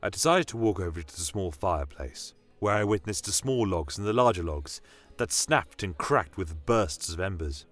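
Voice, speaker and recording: A man narrating a scripted first-person fantasy tale in a measured, solemn, dramatic manner. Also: sits low, animated, a bit bright. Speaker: storyteller.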